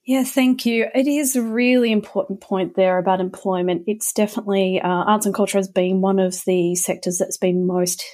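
Mellow, slightly croaky woman. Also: mid-range voice, fairly even intonation, normal speed, few pauses. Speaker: panel guest, arts think tank executive. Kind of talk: considered panel discussion.

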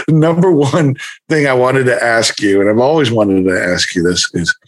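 A man's voice: medium pitch, a bit bright. A man hosting a podcast, asking questions in a loose, enthusiastic, rambling way full of fillers. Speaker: podcast host. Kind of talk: casual podcast chat.

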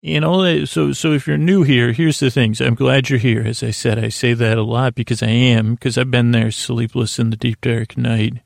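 An American man with a creaky voice hosting a sleep podcast, rambling in a halting, reassuring way as he speaks straight to the listener. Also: mid-range voice, fairly even intonation, dry recording, studio quality. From Syracuse, New York, United States. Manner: meandering, halting, stumbling over words, reassuring. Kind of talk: rambling bedtime monologue, addressing the listener.

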